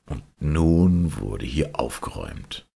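Man, storyteller, extremely deep, husky and raspy, lively intonation, scripted fairy-tale reading. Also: slow. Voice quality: gravelly, husky. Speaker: audiobook narrator.

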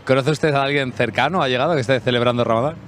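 A man's voice: mid-range voice, very fast, a bit bright, slight background noise. A man interviewing passers-by on a city street with a handheld mic, asking formal questions. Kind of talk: vox pop street questions.

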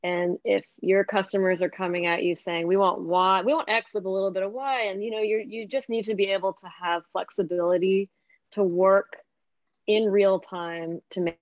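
A woman's voice: medium pitch, steady tone, moderate pace, no room sound. A young woman speaking casually as a panellist in a discussion. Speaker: restaurant owner panellist. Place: indoors on a video call. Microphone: video call. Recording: dry, slightly dull video-call audio.

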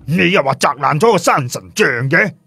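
Man voicing brash, husky, streetwise Fatty. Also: a little high, expressive, moderate pace, bright, forceful tone, no room sound, pitched above the performer's own voice, exaggerated voice. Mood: mocking. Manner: mocking, slangy, blunt.

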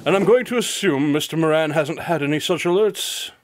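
A man's voice: fairly high pitch, fairly quick, slight background noise, natural voice. A man playing a shrewd, confident detective in a radio drama, speaking in a wry, clipped manner. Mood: brisk, knowing. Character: Spencer Holmes, shrewd, wry male detective.

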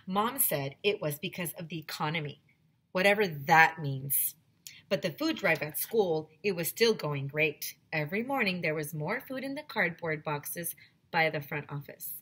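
A woman in her forties, a teacher, reading a chapter book aloud to her students in an animated storytelling way, on a headset mic at home. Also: sits low, slightly rough. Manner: animated storytelling, switching voices for characters. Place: small home room decorated as a classroom. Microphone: headset microphone, webcam video.

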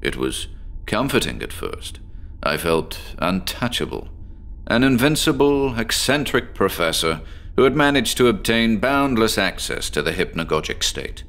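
A man playing an eccentric, sleep-deprived professor who tells a doctor about his strange experiments in a horror audio drama, speaking in a measured, deliberate way. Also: sits low, a bit bright, full character voice. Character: eccentric obsessive male professor, sleepless, confessional.

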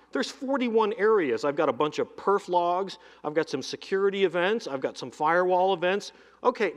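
Energetic man presenting on a hall stage. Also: sits high. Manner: energetic, lists items in quick runs. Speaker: keynote presenter demoing cloud software. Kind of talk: live keynote demo. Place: large conference hall stage. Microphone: headset mic. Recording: long hall reverb.

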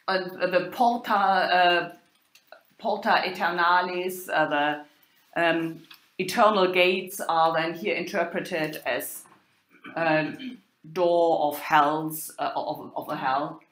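Slow, halting German-accented woman lecturer. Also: on the low side, fairly even intonation, fairly bright tone. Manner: halting, hesitant delivery with many pauses. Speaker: university lecturer in medieval German literature. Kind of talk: informal academic lecture with quoted text. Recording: roomy, echoing sound.

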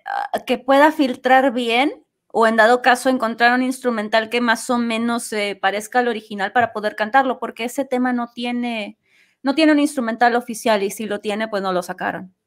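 A woman's voice: a little high, moderate pace. A woman talking casually as an interview guest about her work as an amateur singer. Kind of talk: casual chat.

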